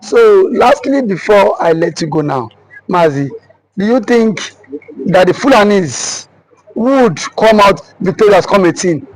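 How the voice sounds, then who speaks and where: Guy asking the questions, high voice, polite but probing. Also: low-quality recording. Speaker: interviewer. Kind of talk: street interview questions.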